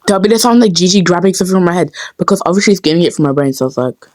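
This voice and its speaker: A woman's voice: on the low side, lively intonation, rapid-fire, darkish tone, no echo. A woman chatting casually behind the scenes as a dub creator, rambling and talking to herself. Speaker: creator dubbing a comic.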